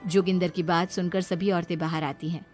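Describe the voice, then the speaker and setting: A woman's voice: fairly low pitch, flat delivery, fairly quick, darkish tone, faint music behind. A woman reading a scripted dramatic story narration for a cartoon.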